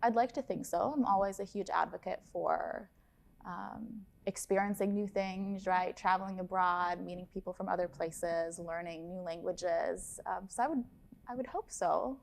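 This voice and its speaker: Brief: woman, 30s, from Lebanon, study abroad advisor, upbeat and chatty, a touch of vocal fry. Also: on the low side, normal speed, a little hiss or hum. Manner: upbeat, enthusiastic, chatty with fillers. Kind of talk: casual interview talk.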